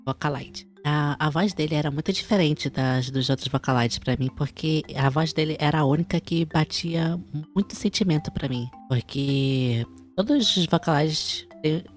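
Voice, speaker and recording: Low-pitched Brazilian woman chatting. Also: normal speed, soft background music. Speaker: host of an online chat show. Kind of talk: casual chat.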